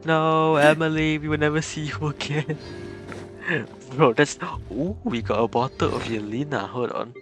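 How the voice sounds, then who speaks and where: A man's voice: fairly high pitch, fairly even intonation, moderate pace, a bit bright, soft background music. A man streaming a video game, chatting casually, laughing, and reacting on the fly. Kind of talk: casual live-stream commentary.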